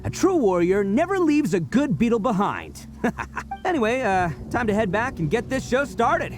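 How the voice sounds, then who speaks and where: An actor playing a brash, boastful oni brawler in a video game, boisterous and laughing, over game music. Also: male voice, sits high, sing-song, moderate pace.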